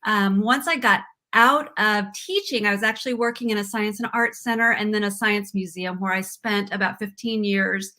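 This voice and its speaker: A woman's voice: mid-range voice, normal speed, few pauses, sharp tone. A middle-aged woman, a former teacher, chatting casually as a guest on a live video call, telling her story with many fillers. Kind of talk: casual interview chat. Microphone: video call mic.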